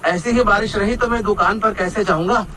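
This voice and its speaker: A man's voice: high-pitched, fairly quick, bright, forceful tone, a little hiss or hum. A man playing a humble, eager samosa seller in a cartoon story, speaking politely and earnestly. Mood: worried, pleading. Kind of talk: Hindi cartoon story acting.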